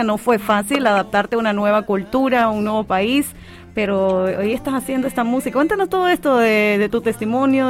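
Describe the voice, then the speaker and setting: Higher-voiced woman radio host. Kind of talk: radio interview hosting. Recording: radio studio, faint music underneath. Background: faint background music.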